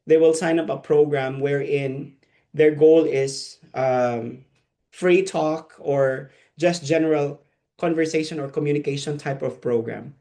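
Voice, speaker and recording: Gravelly Filipino man teaching. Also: a little high, mellow. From Philippines. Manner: conversational lecturing, asks trainees questions. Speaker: ESL teacher trainer. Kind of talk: live online training session.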